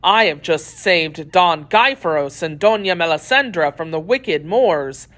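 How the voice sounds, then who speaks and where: A pompous, chivalrous knight from a storybook, voiced by a woman reader. He declaims grandly and indignantly at an unhurried pace, with wide swings of pitch. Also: lively intonation, a bit bright. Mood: proud, triumphant.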